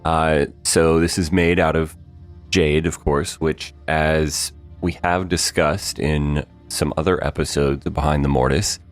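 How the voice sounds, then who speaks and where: A man with a very deep voice leads the conversation at an easy, measured pace. He prompts his partner with questions, pausing on "um" and "uh". Faint music runs underneath. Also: steady tone. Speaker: podcast co-host, interviewer. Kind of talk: casual behind-the-scenes chat.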